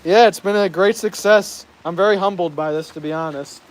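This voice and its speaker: A fairly high-voiced American man chats loosely on an outdoor live stream, tripping over his words and laughing now and then. Rain patters steadily in the background. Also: fairly quick, poor audio. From United States. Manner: chatty, laughs often, stumbles over words. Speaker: vlogger reading live chat. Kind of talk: casual live stream chat. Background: rain falling.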